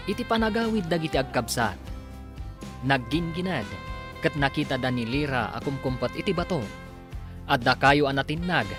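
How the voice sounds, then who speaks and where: A man with an Ilocano accent announcing and narrating a radio drama in a dramatic scripted style. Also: mid-range voice.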